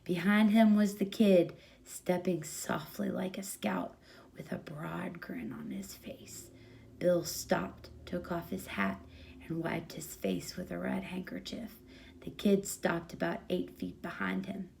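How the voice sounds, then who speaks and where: A woman's voice: fairly low pitch, lively intonation, slow pace, gravelly, a little mellow, whispered. Woman narrating a short story.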